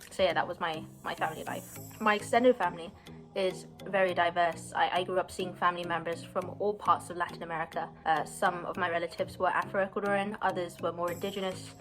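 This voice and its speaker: A woman's voice: fairly low pitch, steady tone, a little fast, a bit bright, soft background music. A young woman, earnestly telling her personal story as a student, with some hesitant fillers. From London, England. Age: 20s. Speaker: university student. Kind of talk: personal story, read to camera.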